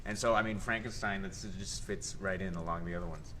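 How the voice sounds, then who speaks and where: Bright-voiced young man, lively and chatty. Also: low-pitched, fast talker. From South Texas. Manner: animated, laughs often, fond of hesitations. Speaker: theater director. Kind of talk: casual interview. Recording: faint background noise.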